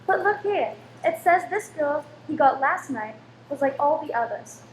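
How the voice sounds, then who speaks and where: High-pitched fretful London landlady played by a woman. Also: lively intonation, moderate pace. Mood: gossipy, morbid. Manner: anxious, complaining, dramatic. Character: fretful, careworn Victorian London landlady, sharp-tongued wife. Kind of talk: old-time radio play acting. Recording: roomy stage recording, faint background noise.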